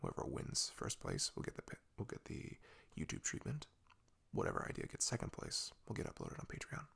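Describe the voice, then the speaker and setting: A man giving a soft-spoken update as an ASMR audio creator, hushed and often slipping into a whisper. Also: very deep voice, wildly swooping pitch, brisk, creaky, husky.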